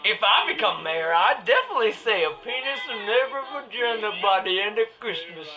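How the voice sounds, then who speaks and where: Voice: mid-range voice, big pitch swings, a little fast, dull audio, crowd noise, natural voice. A performer playing a goofy, boastful radio-station janitor who rambles in a silly comedy parody. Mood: silly rambling.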